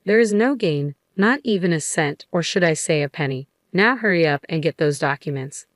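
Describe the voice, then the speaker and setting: A woman's voice: deep voice, lively intonation, normal speed, no room sound, studio quality. A woman reading as a rude, sneering salesman. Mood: scornful. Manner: condescending, scolding. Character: rude arrogant male real estate salesman.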